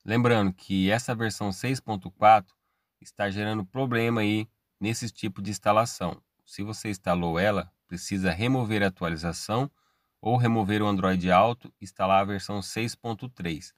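Low-voiced Brazilian man explaining steps. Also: fairly even intonation, normal speed, no room sound, clean studio sound. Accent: Brazilian Portuguese. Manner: step-by-step, casual, tag questions. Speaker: tech channel host. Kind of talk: screen-recorded tech tutorial.

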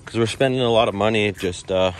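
A man with a low voice talks his way through an outdoor walking tour. He is relaxed and chatty and thinks aloud with many fillers. Faint noise sits behind him outside. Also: fast. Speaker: travel vlogger. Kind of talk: casual walking tour narration. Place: outdoors at an old stone fort.

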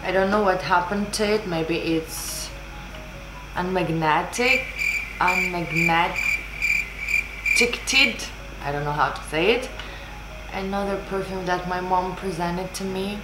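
A woman's voice: fairly low pitch, slow pace, long pauses. A woman in her twenties who is not a native English speaker, chatting casually to the camera as a vlogger in a room. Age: 20s. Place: small room indoors, seated at desk. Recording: roomy echo under background music. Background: background music.

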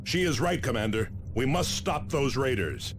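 An actor playing a stern, dutiful space marine sergeant in a video game, formal and resolute. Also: male voice, expressive, moderate pace, music in the background, close to an everyday voice. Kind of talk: dramatic video game acting. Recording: loud background noise and vehicle rumble.

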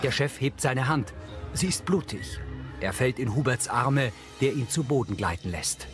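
A man's voice: mid-range voice, animated, moderate pace. Male audio description narrator, music behind. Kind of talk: calm scripted scene narration.

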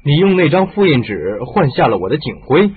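A man's voice: on the high side, big pitch swings, fairly quick, narrow, tinny audio, slight background noise. A man acting in a radio drama as a sceptical but reasonable police inspector with a questioning manner. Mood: sceptical, puzzled. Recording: band-limited audio with music.

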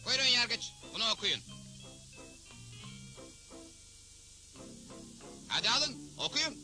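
A man's voice: very high-pitched, very animated, a little fast, over music, low-quality recording. A man playing a bold, defiant cowboy hero in a dubbed cartoon, assertive and wry. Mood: indignant, challenging.

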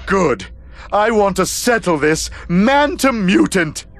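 A petulant mad-scientist villain for a cartoon game. The voice is high and swoops theatrically as it sneers and whines. Music plays underneath. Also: male voice, big pitch swings, takes it slowly, heavily acted. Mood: gloating, combative. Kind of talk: cartoon video game cutscene acting.

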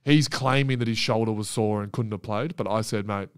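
A man's voice: on the low side, fairly quick, clean tone, no echo, studio quality. A young Australian man co-hosting a casual podcast, rambling with fillers. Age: young adult. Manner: relaxed, rambling, many fillers. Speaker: podcast co-host, footballer. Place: small office studio. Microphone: desk mic on boom arm.

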